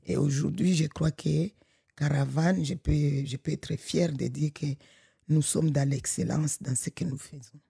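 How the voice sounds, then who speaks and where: Low husky creaky-voiced woman. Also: a little slow, no echo, studio quality. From Congo. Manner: animated, emphatic, frequent restarts. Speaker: association director. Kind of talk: conversational podcast interview.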